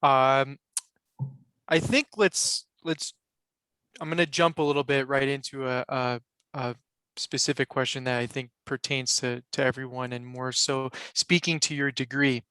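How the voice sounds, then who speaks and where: A man hosting a panel over a video call, with a bright, crisp voice close to a dry mic. He rambles a little, circling through fillers and quick apologies as he steers the speakers. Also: mid-range voice, steady tone, dry recording. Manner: loose, filler-heavy, apologetic. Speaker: panel moderator.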